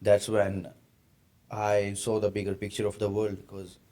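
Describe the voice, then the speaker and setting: An earnest man from India in his late twenties, hosting a podcast in a fairly low voice. He asks his questions carefully, with searching, slightly non-native phrasing. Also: monotone, normal speed, dark tone. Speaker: podcast host. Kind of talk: casual interview conversation.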